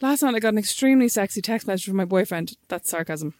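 A woman's voice: mid-range voice, expressive, quick pace, a little mellow, no echo, studio quality. A woman, co-hosting a casual chat with her sister, telling stories animatedly and laughing often.

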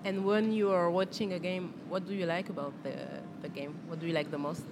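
A host who reads a scripted introduction, then asks an interview guest short questions and fills in with quick agreeing interjections. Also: mid-range voice, steady tone, background noise.

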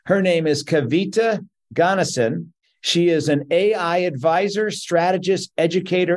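A man's voice: fairly high pitch, a little mellow. A man hosting a podcast, reading a scripted intro and then interviewing a guest. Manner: reads intro steadily, lists credentials. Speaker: podcast host.

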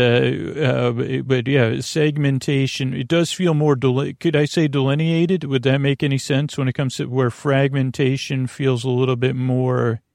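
A man hosting a bedtime podcast in a creaky, lulling voice, meandering through tangents with frequent stammers and questions to himself. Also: mid-range voice, darkish tone, no room sound, studio quality. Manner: soothing, lulling, drowsy delivery. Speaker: podcast host. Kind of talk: rambling bedtime podcast monologue.

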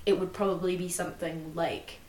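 A woman's voice: on the low side, fairly even intonation, fairly quick, a little mellow, distant mic, slight background noise. A young woman co-hosting a podcast in casual chat, rambling and filling her talk with "like". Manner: rambling, fills speech with "like". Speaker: podcast co-host. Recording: roomy, slightly off-mic.

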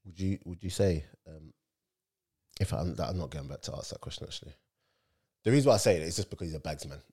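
A man's voice: low voice, fast talker, dark tone, slightly rough. A man hosting a casual football podcast, loose and conversational. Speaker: podcast host.

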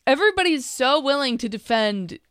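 Female co-host, mid-pitched, reflective and hedging, dry close recording. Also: big pitch swings, brisk, fairly bright tone, clean tone, dry recording. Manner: musing, hedging with fillers. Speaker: podcast co-host. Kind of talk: casual chat.

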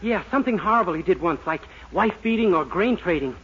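A man's voice: high-pitched, animated, quick pace. A man acting a naive, eager young shop employee full of schemes in a 1940s radio sitcom. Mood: eager, scheming. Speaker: comedy show lead. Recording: dull, noisy 1940s radio recording.

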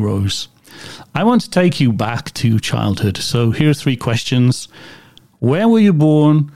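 A man's voice: medium pitch, darkish tone. A man hosting an interview podcast, reading an enthusiastic scripted introduction before chatting with his guest. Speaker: podcast host.

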